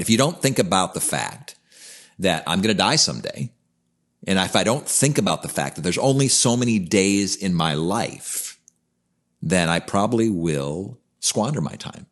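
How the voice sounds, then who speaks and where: A man's voice: low-pitched, expressive, a little slow. A man in his fifties co-hosting a podcast, talking in an earnest, reflective way in casual conversation. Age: 50s. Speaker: podcast co-host, coach.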